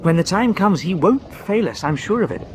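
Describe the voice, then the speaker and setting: An actor playing a mild, loyal expedition doctor with an English accent and a polite, hesitant, conciliatory manner. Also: male voice, sits high, lively intonation, moderate pace, a little hiss or hum. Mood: earnest. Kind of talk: period audio drama acting.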